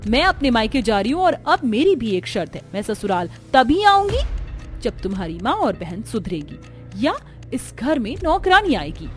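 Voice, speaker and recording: Lady playing overworked young wife in a cartoon, complains a lot, high pushy voice, music behind. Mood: fed up. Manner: complaining, emphatic. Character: fed-up, overworked young daughter-in-law, quick to argue. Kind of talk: cartoon story dialogue.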